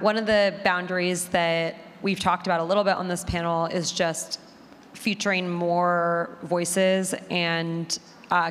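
A woman speaking informally and unscripted as a panelist in a discussion. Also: fairly low pitch. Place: panel in a hall. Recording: hall reverb, faint noise.

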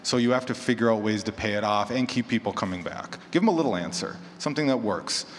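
Guy chatting on a panel, lowish voice, big echoey room with some noise. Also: fast talker. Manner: conversational, illustrates with hypothetical quotes and examples. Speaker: panelist, horror fiction podcaster. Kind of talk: casual conference panel talk. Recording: echoey hall, clear background noise.

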